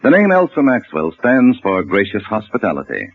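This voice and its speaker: A man's voice: fairly low pitch, wildly swooping pitch, normal speed. A man delivering a polished, scripted 1940s radio commercial as the announcer. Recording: muffled, dry old radio recording.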